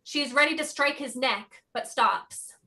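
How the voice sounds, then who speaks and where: A woman's voice: sits high, unhurried, pushed and bright. A woman narrator reading stage directions as scripted narration.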